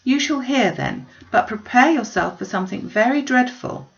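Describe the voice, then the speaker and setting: A spirited young gentlewoman from a storybook, voiced by a woman talks with arch wit. She is playful and mock-serious, teasing as she goes, with a creaky edge to the voice and a slightly muffled recording. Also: fairly high pitch, expressive, moderate pace, voice pushed up. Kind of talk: storybook reading.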